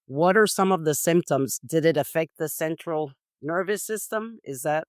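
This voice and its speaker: A man's voice: a little high, normal speed, no room sound, studio quality. A man hosting a podcast, asking questions in a casual, conversational way. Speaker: podcast host.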